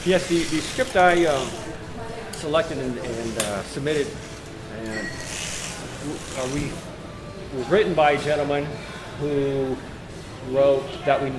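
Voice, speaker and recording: A man's voice: medium pitch, slow pace, pauses often. Polite, hesitant man in a noisy meeting room. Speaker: club play director. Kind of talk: informal announcement to a club meeting. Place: indoor club meeting room. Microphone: camera microphone at a distance. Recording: noisy room through a camera microphone.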